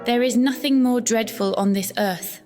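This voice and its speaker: A woman playing a composed, solemn, enigmatic young woman in an audio drama, speaking in a measured, formal and grave way. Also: on the high side, dark tone, faint music behind, close to an everyday voice. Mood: grave, insistent.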